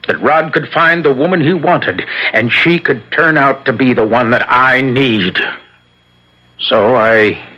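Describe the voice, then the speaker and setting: Slow, fevered young devil worshipper. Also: male voice, medium pitch, pushed and bright. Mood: bitter, cynical. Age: young adult. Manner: theatrical, chanting incantations and cynical declarations. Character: bitter, unhinged young man turned devil worshipper. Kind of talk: 1970s radio drama acting. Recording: dull, lightly noisy old radio recording.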